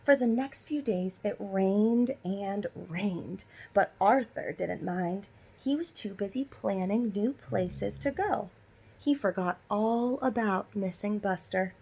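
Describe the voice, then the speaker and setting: A woman's voice: medium pitch, slow, gravelly, dark tone, breathy edge, poor audio. A woman teacher reading a children's story aloud and prompting listeners with instructive questions.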